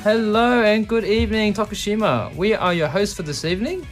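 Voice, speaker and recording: A man from Australia hosting a community radio show, chatting casually with guests and giving quick, upbeat affirmations. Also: high-pitched, expressive, over music. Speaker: radio host.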